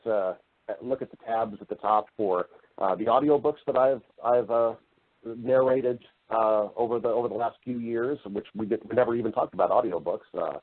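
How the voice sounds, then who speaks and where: A man speaking casually and affably as an interview guest, explaining things in a conversational way. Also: mid-range voice. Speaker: podcast guest, voice-over artist and narrator. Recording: muffled band-limited internet radio call.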